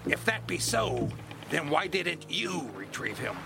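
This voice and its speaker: An actor playing a tyrannical, short-tempered sailing captain who barks threats and curses in a seafaring audio drama. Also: male voice, on the high side, big pitch swings, unhurried, gravelly, very noisy, heavily acted. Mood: angry, threatening. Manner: barking, cursing, threatening commands.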